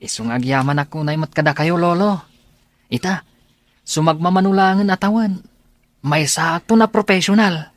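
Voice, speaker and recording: A young Filipino man, played by an actor as a dutiful grandson, earnest and respectful in a radio drama. His voice is fairly high and recorded dry. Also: normal speed, long pauses, no echo, natural voice.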